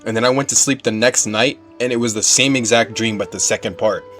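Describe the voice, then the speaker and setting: A man's voice: on the low side, a little fast, a bit bright. A man giving casual, slangy and candid reaction commentary as he plays a video game. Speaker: gamer reacting to a game playthrough. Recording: game music behind the voice. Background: video game music.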